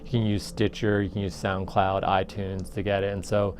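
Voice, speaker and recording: Lowish-voiced man explaining, interview style. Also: little pitch movement, moderate pace, a little hiss or hum. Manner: informal, explanatory. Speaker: audio production teacher. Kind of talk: documentary interview.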